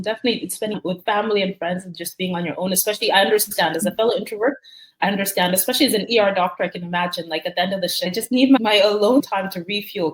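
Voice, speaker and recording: A woman's voice: medium pitch, poor audio. A woman from Canada hosting a casual conversational interview, chatty and affirming, rambling a little from thought to thought. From raised in Canada from age six, African family. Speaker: podcast host, emergency doctor.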